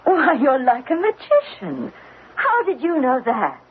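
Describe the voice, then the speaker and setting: An actress playing a polite, wide-eyed young gentlewoman in an old-time radio drama, eager and theatrical. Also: high-pitched, big pitch swings, a little slow, gravelly, heavily acted. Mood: astonished. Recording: tinny, band-limited old radio recording, faint noise.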